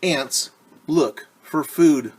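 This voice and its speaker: Husky-voiced man reading to children, slow. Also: fairly high pitch. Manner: gentle, asks the young audience questions. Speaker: teacher reading a picture book to children. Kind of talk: children's story time read-aloud.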